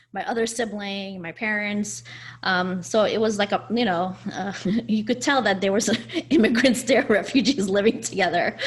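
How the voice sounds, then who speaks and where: Afghan-born woman, candid and a little gravelly. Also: mid-range voice. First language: Farsi. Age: 40s. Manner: candid, conversational, with frequent fillers and self-corrections. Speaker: guest sharing her life story. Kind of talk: personal storytelling interview.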